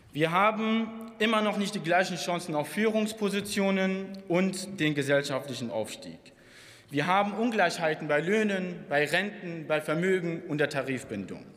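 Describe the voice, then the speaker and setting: A man's voice: fairly high pitch, a bit bright. A young man from eastern Germany giving a combative, impassioned parliamentary speech at a lectern microphone. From Saxony, eastern Germany. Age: young adult. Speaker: member of parliament. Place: large parliament chamber. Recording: reverberant chamber with audience murmur.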